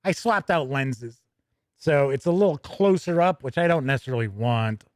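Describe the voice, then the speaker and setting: A man's voice: medium pitch, animated, moderate pace, no echo, clean studio sound. A man hosting a casual livestream, talking in a rambling, conversational way and often interrupting himself as he goes. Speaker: livestream host. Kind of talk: casual livestream chat, answering viewer questions.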